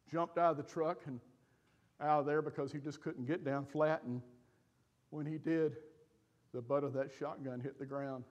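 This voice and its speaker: An older man preaching from a church stage, telling a personal story with pauses and feeling. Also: a little high, a little mellow, dull audio. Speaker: pastor. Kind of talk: sermon storytelling.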